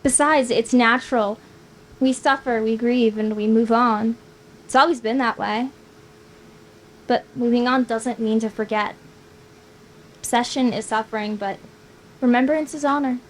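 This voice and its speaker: A woman's voice: fairly high pitch, fairly even intonation, a little slow, long pauses, lightly acted, poor audio. A woman acting a playful, tender young woman in a live screenplay table read, with some noise on the recording. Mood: gently consoling.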